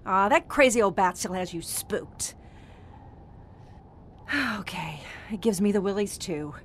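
An actress playing a determined, bossy bank teller on the run in a period suspense audio drama, urgent and taking charge. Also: female voice, mid-range voice, expressive, unhurried, slight background noise.

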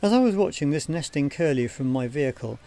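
Measured male nature film narrator. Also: fairly high pitch, expressive, gravelly, dark tone. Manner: measured, explanatory. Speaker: narrator of a bird observation training film. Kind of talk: scripted documentary narration.

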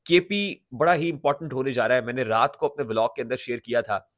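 A man's voice: medium pitch, quick pace, a bit bright, dry recording, clean studio sound. A man in his thirties with a Pakistani accent, giving emphatic political commentary to camera, restating his points and mixing in English words. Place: small indoor home studio. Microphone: large studio microphone close in front.

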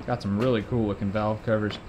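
A man co-hosting a garage engine build, joking around in relaxed banter. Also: lowish voice, quick pace, slight background noise. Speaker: co-host. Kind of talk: casual workshop chat. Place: garage workshop.

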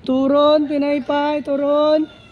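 High-voiced Davao Cebuano woman calling slowly. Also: little pitch movement. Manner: slow, drawn-out repeated calls for riders. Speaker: pedicab driver and vlogger calling for passengers. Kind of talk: street calls to passersby and casual chat. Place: outdoors on quiet residential streets. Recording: muffled outdoor audio with faint street noise.

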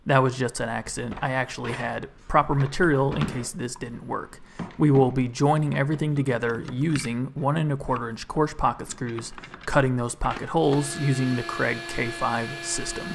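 A man's voice: mid-range voice, fairly even intonation, moderate pace, vocal fry, background noise. A man in his thirties, host of a woodworking channel, narrating his build in a voice-over and explaining each step with upbeat enthusiasm for his tools.

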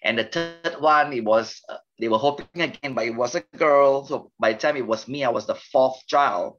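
A man in his fifties from Malaysia with a Malaysian accent, a pastor telling his life story gently in a podcast interview. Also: mid-range voice, normal speed, dull audio, faint music behind.

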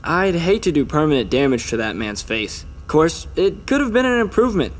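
A man's voice: fairly high pitch, sing-song, brisk, big-room sound. An actor playing a mouthy, defiant young male suspect in an audio drama, slangy and defensive. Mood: flippant.

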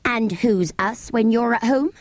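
A woman's voice: on the high side, unhurried, no room sound, pitched above the performer's own voice, lightly acted. A woman voicing a stern, indignant nursemaid who scolds emphatically in a storybook reading. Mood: flustered, suspicious. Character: scolding, scornful English nursemaid, sharp and indignant.

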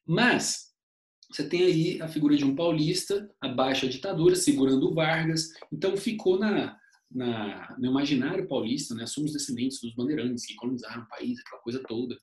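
Man, 30s, online history teacher; casual, chatty delivery; webcam audio with room echo. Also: a little fast. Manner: chatty, uses "né?" as a constant tag, animated pitch swings. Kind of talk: online lecture over slides.

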